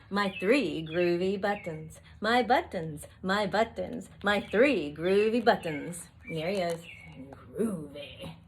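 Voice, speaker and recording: A middle-aged woman reading a picture book aloud to young children on an outdoor patio, expressively, with drawn-out questions and singsong refrains. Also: medium pitch, fairly bright tone. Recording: outdoor recording with faint background noise.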